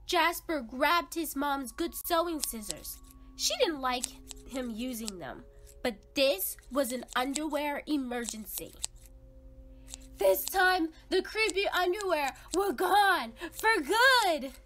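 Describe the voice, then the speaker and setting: A woman's voice: high-pitched, lively intonation, slow pace, a bit bright, slight background noise. A woman narrating a children's read-aloud expressively, with dramatic, suspenseful emphasis. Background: background music.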